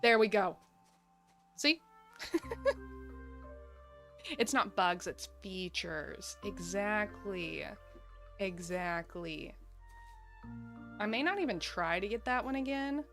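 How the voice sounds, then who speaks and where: A woman streams a game with casual, chatty commentary. She takes her time and thinks aloud as she plays, in a mid-pitched voice over faint music. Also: lively intonation, takes it slowly, pauses often, a bit bright. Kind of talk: casual live gaming commentary.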